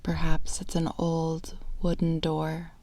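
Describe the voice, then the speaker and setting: Hushed, low, even-toned woman guiding meditation. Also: no echo. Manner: hushed, breathy, unhurried delivery with long pauses. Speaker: guided imagery meditation guide. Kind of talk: slow, soothing guided meditation.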